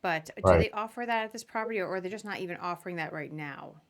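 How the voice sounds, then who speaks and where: A woman's voice: fairly low pitch, expressive, fast, a crowd in the background. A woman hosting a podcast, chatting casually as she interviews her guest. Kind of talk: casual interview on a live video show.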